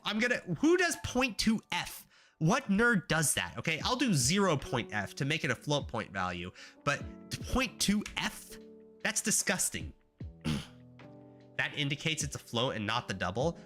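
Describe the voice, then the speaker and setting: A man's voice: on the high side, very animated, a little slow, bright, forceful tone, soft background music. A man live-streaming while he codes, chatting casually and rambling with wry asides to his chat, his voice stuffy and nasal from congestion. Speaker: livestreamer learning graphics programming.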